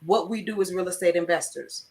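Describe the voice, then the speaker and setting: A woman's voice: lowish voice, brisk, distant mic, slight background noise. A woman hosting a live talk show, giving emphatic numbered tips in a teaching tone. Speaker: host. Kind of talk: motivational live-stream talk.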